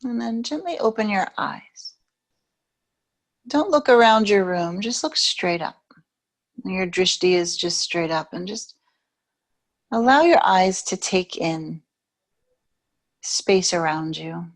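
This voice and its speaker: A woman yoga teacher guiding a yoga nidra meditation in a soothing, unhurried way, leaving long pauses between phrases. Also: medium pitch, lively intonation.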